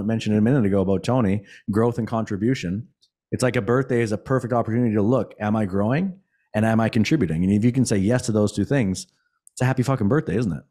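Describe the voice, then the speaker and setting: A man's voice: fairly low pitch, mellow. A man co-hosting a casual podcast, blunt and enthusiastic, swearing freely, on a studio mic. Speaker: podcast co-host. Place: bright studio room, seated in armchair. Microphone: boom-mounted studio mic.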